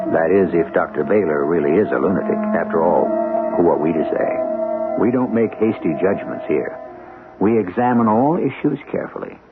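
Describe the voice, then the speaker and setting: Male mystery-series narrator: low, deliberate, dryly ominous rhetoric, old phone-like radio recording under prominent music. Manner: measured, portentous, wry, rhetorical. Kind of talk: scripted dramatic radio narration. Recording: tinny old radio broadcast. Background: loud dramatic music.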